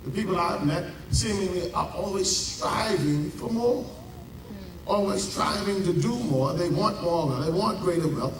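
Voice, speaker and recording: A Bahamian man preaching a sermon as pastor, with dramatic pauses and drawn-out emphasis. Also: high voice, noisy background. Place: large church. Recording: echoey church heard from a distance.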